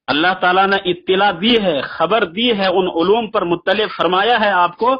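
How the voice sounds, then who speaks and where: Husky high-pitched man, insistent debater. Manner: insistent, argumentative, repeats himself. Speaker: debater arguing a religious position. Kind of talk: religious debate over a phone call. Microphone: phone call. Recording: dull lo-fi phone call recording.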